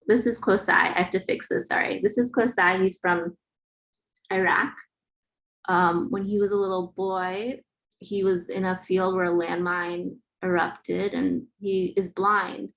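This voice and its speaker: A woman informally presenting her photography project at an online webinar, talking in a casual, halting way. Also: lowish voice, little pitch movement, creaky, a bit bright.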